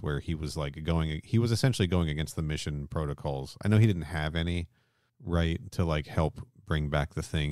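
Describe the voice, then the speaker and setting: A man's voice: extremely low pitch, a little mellow, no echo, studio quality. A man hosting a podcast, casually recapping a story in colloquial, mildly sweary language and often checking that his listener agrees. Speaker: podcast host.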